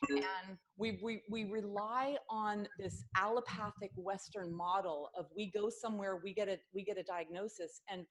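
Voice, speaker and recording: A woman's voice: medium pitch, steady tone, normal speed, few pauses, fairly bright tone. Middle-aged female health coach hosting a video-call workshop; chatty, earnest, prone to tangents. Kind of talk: informal online workshop talk.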